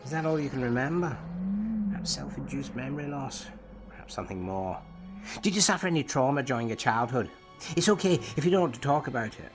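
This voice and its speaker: A man acting a brooding time-travelling alien who serves as his own therapist, speaking in a solemn, deliberate way in an audio drama. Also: mid-range voice, animated, lightly acted. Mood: probing, formal. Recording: music under the voice. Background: music.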